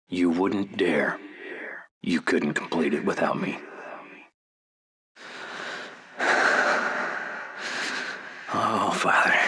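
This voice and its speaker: A tormented, vengeful scientist villain for a horror game. The voice is very deep yet sharply forceful, measured and breathy, dripping with arrogance. A faint hiss sits behind it. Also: male voice, heavily acted. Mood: bitter, menacing. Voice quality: low, breathy, slipping toward whisper. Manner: deliberate, clinical, contemptuous. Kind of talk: video game villain acting.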